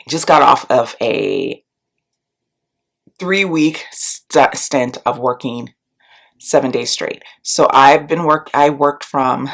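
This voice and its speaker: A woman's voice: very deep voice, fairly bright tone. Congested woman chatting through a makeup vlog. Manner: chatty, rambling, lots of ums and likes. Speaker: beauty and book vlogger. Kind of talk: casual chat to camera. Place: small room at home, indoors. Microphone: camera close up, face framing.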